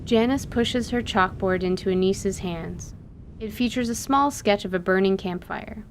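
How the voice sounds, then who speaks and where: A woman reading scripted story narration for an audio drama. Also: mid-range voice.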